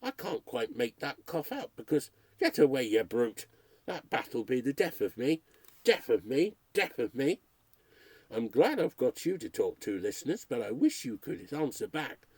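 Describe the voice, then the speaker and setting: A man's voice: mid-range voice, very animated, normal speed, a little mellow, husky, no echo. A man reading as a rattled radio announcer. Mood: nervous chatter. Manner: rambling, nervy chatter addressed to listeners. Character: male radio announcer alone in a haunted house, cracking up. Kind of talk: read-aloud ghost story.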